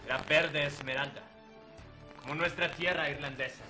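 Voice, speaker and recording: A man playing a proud Irish deserter captain, recounting his past in a measured, earnest way. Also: on the high side, steady tone, pushed and bright. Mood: solemn. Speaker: guest in character. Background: music underneath.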